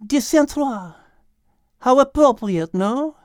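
A man plays a refined French she-cat from an animal audiobook. The voice is high and lilting, with a French accent and precise, learned phrasing. It sounds gentle and clever, recorded close and dry. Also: lively intonation, takes it slowly, dry recording, exaggerated voice. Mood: gentle, saddened. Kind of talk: audiobook character acting.

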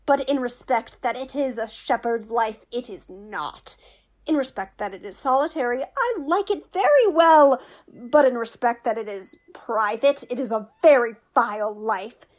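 Woman doing a witty court jester guy, kinda high voice, slow n pompous, teasing, shakespeare radio play. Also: animated. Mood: wry. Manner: pompous, mock-logical argument.

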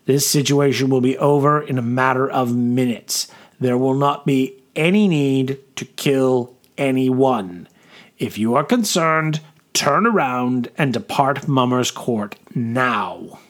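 A male narrator acts out a rough-hewn army major from an audiobook. He speaks in formal military phrases with calm command, sounding resolute and sure of his authority. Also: medium pitch, normal speed, lightly acted. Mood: calm, firm. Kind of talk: audiobook character dialogue.

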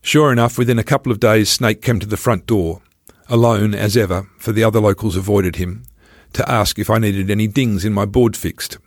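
Low-voiced man narrating, close dry sound. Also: a little fast, no room sound. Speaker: author reading own memoir. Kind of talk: scripted first-person travel storytelling, narration.

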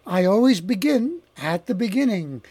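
A man hosting a talk show, introducing his guest in a measured, emphatic way and then interviewing her. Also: high voice, very animated, dark tone, breathy edge, no room sound.

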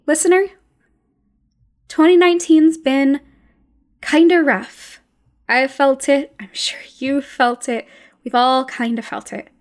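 A woman presenting a scripted but conversational podcast segment, speaking earnestly and directly to the listener. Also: sits high.

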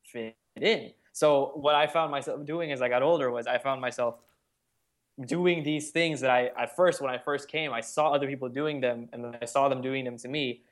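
A man's voice: mid-range voice, steady tone, moderate pace. A young adult man, a podcast guest, telling a personal story in an earnest, rambling way full of "like". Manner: earnest storytelling, frequent "like" fillers. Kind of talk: casual conversation.

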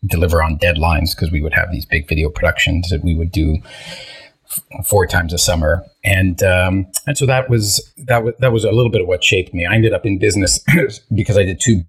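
Very deep, husky-voiced man in his fifties. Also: fairly even intonation, brisk, low-quality recording. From Israel. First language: Hebrew. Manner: hesitant, many restarts and fillers. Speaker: podcast guest. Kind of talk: casual interview storytelling.

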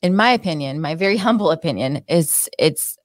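Woman, very low voice, dry close sound, earnest reflective wellness guest. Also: expressive, brisk, no echo. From born in the United States, partly raised in Israel. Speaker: health and wellness expert guest. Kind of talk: casual interview chat.

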